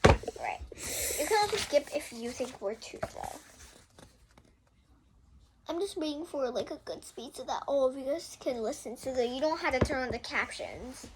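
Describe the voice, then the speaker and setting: A woman's voice: high voice, lively intonation, normal speed, pauses often, background noise. A young girl hosting a casual read-aloud of a children's book, often breaking off to explain things to viewers in chatty asides.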